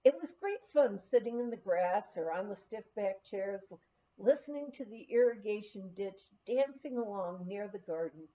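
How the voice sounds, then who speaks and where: A woman's voice: mid-range voice, expressive, normal speed, dark tone. A woman reading a memoir aloud as scripted storytelling narration, occasionally stumbling over words, on a slightly muffled recording. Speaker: audiobook reader.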